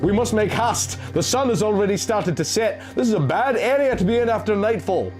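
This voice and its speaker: An anxious fantasy companion, as a male narrator puts him on, bossy and urgent. The voice sits high and swoops with alarm, over faint music in a storybook reading. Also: lively intonation, a little fast. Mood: worried, urgent. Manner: urgent, exclamatory.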